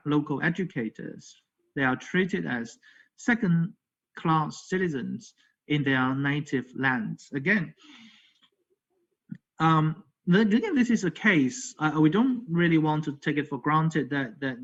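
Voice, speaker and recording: A man, an English speaker, giving a conference lecture in a halting way, repeating words and checking in with "okay" and "right". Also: a little high, a bit bright. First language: not English. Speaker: academic presenter, language educator. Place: virtual conference call. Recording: dull, lo-fi online audio.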